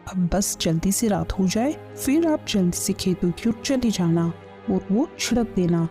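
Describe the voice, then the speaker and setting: A woman's voice: mid-range voice, expressive, measured pace, warm tone, natural voice. A woman playing a greedy, scheming village farmer's wife in a kids' cartoon, with a nagging, commanding manner. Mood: hushed nagging. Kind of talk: Hindi kids' cartoon story dialogue. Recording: music under the voice.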